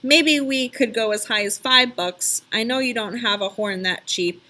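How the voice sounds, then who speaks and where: A woman's voice: fairly high pitch, moderate pace, sharp tone. A woman voicing a protective, shrewd male character in a children's storybook, speaking in a plain, polite, canny way. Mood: shrewd bargaining. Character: Alex, protective, shrewd male friend bargaining for Louis.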